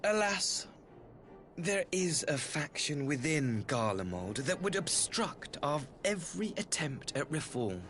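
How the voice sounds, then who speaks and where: Game role: polished, scheming diplomat, slightly high voice with wide swoops, eloquent and theatrical. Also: male voice, fairly bright tone. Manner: formal, eloquent, theatrical, archaic diction. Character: smooth, courteous, calculating imperial ambassador. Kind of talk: scripted video game voice acting. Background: background music.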